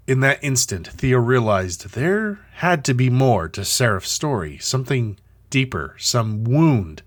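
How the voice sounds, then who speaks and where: A man reading dramatic scripted narration as the narrator of an audio drama. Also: mid-range voice. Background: music.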